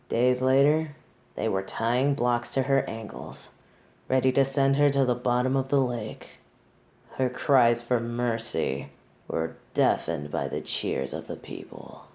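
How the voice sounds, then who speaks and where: A low, mellow female voice from an actress plays a haughty tsundere in an audio drama. She speaks slowly and deliberately, with wry, superior airs, as if confiding in one close listener. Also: heavily acted. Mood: cool, vindictive. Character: snobby tsundere woman, judge bonded to a demon. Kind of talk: scripted audio roleplay, intimate monologue to listener.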